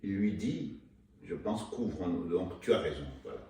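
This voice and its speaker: A man from Rwanda, an author being interviewed, talking haltingly with many restarts and fillers. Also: low voice, lively intonation, mellow. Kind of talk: hesitant interview talk. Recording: echoey room, mic far off, faint noise.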